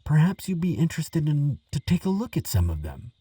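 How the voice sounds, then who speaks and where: A man playing an earnest, excitable archaeologist who talks gently to a friend, stammering and musing with wonder, in a relaxing audio roleplay. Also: fairly high pitch, brisk, husky, no room sound, recorded from a distance, full character voice. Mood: eager, fascinated. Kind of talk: relaxing scripted audio roleplay, spoken to the listener.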